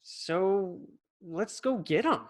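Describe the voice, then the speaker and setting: A man's voice: high voice, animated, slow. An actor playing an eager, cocky young boy in a sitcom table read, with earnest delivery. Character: eager, cocky young boy wanting martial arts glory. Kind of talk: sitcom table read over video call.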